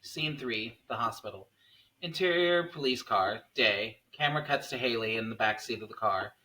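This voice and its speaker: A man's voice: medium pitch, normal speed, pushed and bright, rough recording. A man reading stage directions aloud as the narrator of a table read.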